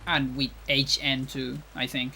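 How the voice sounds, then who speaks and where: Bright-voiced Chilean streamer man. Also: medium pitch, flat delivery, a little slow, gravelly, a little hiss or hum, low-quality recording. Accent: Chilean Spanish. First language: Spanish. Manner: chatty, musing aloud, frequent restarts. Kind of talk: casual chat with viewers while gaming.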